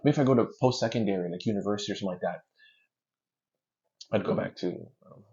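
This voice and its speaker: A man from Canada, a guest in a casual interview, telling stories in an animated way and restarting his words often. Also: lowish voice, fast, vocal fry. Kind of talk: casual chat, storytelling. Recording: dull lo-fi sound.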